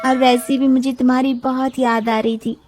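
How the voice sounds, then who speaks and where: A woman's voice: sits high, little pitch movement, normal speed, noisy background, pitched above the performer's own voice, lightly acted. A woman voicing an affectionate, lovelorn young woman in a storybook tale, with a coy, earnest manner. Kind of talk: acted storybook dialogue.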